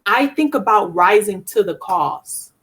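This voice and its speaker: A woman's voice: medium pitch, expressive, measured pace, a bit bright. A woman speaking as a guest author in an interview, giving earnest, emphatic motivational testimony and repeating phrases for emphasis.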